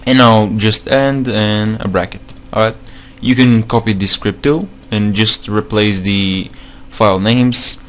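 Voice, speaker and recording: Slow, hesitant man with a non-native accent. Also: fairly low pitch, fairly even intonation, a bit bright. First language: not English. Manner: hesitant, many fillers, repeats himself. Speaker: game modding tutorial maker. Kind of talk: screen-recorded tutorial.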